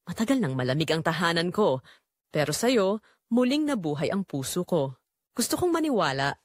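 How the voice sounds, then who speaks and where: A woman's voice: on the low side, very animated, moderate pace, no echo, studio quality. A woman voicing a married male boss, a tender and persuasive suitor, in romantic audio drama dialogue. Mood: weary, yearning.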